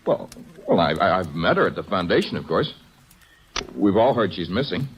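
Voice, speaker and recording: Young male suspect, lowish voice, earnest and prickly, old-time radio drama delivery. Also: very animated, moderate pace, husky, highs cut off, heavily acted. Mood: defensive. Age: young adult. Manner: earnest, stammering when pressed. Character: defensive, lovestruck young man under suspicion.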